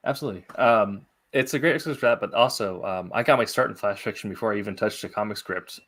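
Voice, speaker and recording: A man hosting a casual interview about writing, speaking in a halting, thoughtful way as a fellow writer. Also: fairly low pitch, a bit bright. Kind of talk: casual podcast interview chat.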